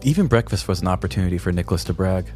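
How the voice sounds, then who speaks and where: A man co-hosting a podcast, telling a scripted comedic story in a deliberate, mock-grandiose way. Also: deep voice, expressive, darkish tone, soft background music. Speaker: podcast co-host.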